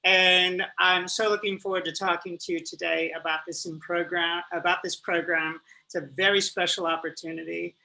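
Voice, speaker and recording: A man's voice: sits high, sharp tone, rough recording. A man hosting an interview show who speaks in a friendly, upbeat way and addresses the audience directly. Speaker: host, spokesperson.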